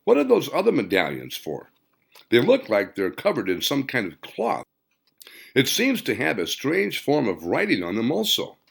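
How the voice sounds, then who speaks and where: A man's voice: mid-range voice, wildly swooping pitch, moderate pace, creaky, breathy edge, pitched above the performer's own voice, full character voice. A man voicing a puzzled, curious woman in an audiobook, hesitant and questioning. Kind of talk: audiobook character dialogue.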